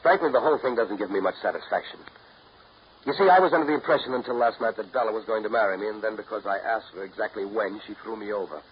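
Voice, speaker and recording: A man's voice: lowish voice, fast talker, lightly acted. An actor playing a proud jilted male suitor, clipped, polite and wry, in 1930s radio drama style. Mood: wounded, wry. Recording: muffled, noisy 1930s radio broadcast recording.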